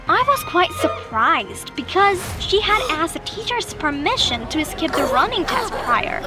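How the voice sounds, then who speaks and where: A woman narrating a scripted first-person story in the role of its narrator. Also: high-pitched. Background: background music.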